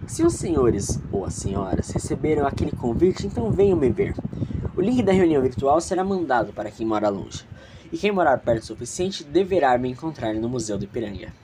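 A man voicing a self-important, theatrical young gentleman who declaims pompously to his guests in an audio drama. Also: medium pitch, sing-song, a little fast, noisy background, natural voice. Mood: commanding announcement.

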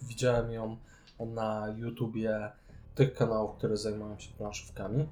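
A man's voice: on the low side, level tone, measured pace, warm tone, faint music behind. A man chatting casually about board games as a podcast co-host. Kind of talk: casual board game discussion.

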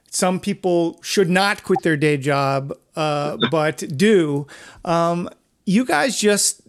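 A man hosting a conversational interview podcast, talking in an emphatic, halting way with pauses. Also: high voice. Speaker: podcast host.

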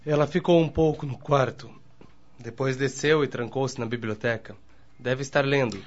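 A man's voice: mid-range voice, normal speed, natural voice. A man playing a troubled, protective young son in a radio soap, serious and earnest. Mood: subdued. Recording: dull lo-fi audio, music underneath.

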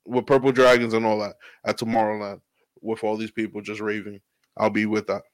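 Low smooth-voiced man, casual and profane. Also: little pitch movement, a little fast, dry recording. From New York. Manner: laid-back, profane, rambling with "you know". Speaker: podcast guest, DJ. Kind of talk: casual podcast chat.

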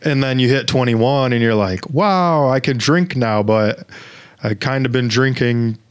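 A young man co-hosts a casual podcast, talking loosely in an easygoing way. He swears now and then. His voice sits at an average pitch for a man. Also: unhurried, clear, resonant tone. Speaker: podcast co-host. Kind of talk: casual chat.

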